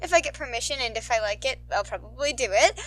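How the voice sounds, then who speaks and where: A woman, an amateur narrator, reading fan fiction aloud in an expressive, informal style. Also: a little high, fast talker, low-quality recording. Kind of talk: animated fan fiction narration, casual asides.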